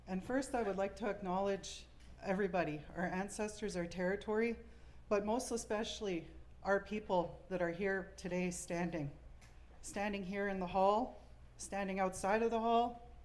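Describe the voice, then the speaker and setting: A woman speaks as a community chief at a press conference. Her delivery is formal, firm and deliberate. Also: mid-range voice, darkish tone. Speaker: First Nation chief.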